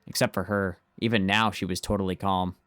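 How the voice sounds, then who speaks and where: A man's voice: sits low, little pitch movement, a little fast, fairly bright tone, no echo. A man playing an anxious, earnest teenage schoolboy, narrating in the first person for an amateur game dub. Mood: tense narration.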